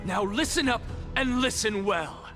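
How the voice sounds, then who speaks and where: An actor playing an arrogant scholar in a video game, giving grandiose, sardonic speeches to an assembly. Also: male voice, high voice, unhurried, over music. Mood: grand, declamatory. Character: arrogant, theatrical male scholar mocking an assembly. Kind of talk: dramatic video game voice acting.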